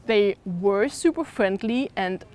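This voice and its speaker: A woman's voice: mid-range voice, animated, slow pace, a little mellow. A young woman from Denmark, talking casually and expressively as an exchange student in an interview. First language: Danish. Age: young adult.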